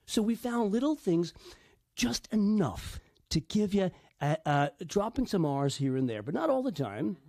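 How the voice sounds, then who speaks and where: A man with a part-Irish accent speaks as a dialect coach in an interview, halting and restarting as he explains. His voice sits a little high and mellow. The sound is dry and close. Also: very animated, creaky, no echo.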